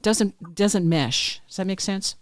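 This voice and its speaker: A podcast host with a smooth, resonant voice, recorded dry and close. The host talks earnestly and in a chatty way, often nodding along with quick agreement. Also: medium pitch, no echo. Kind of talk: casual interview chat.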